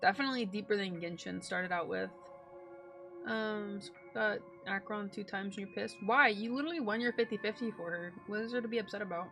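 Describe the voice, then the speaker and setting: A woman streamer with a bright, forward voice reacts casually to a game. She is blunt and slangy and swears freely, with faint music behind her. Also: mid-range voice, fairly quick. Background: game music and dialogue.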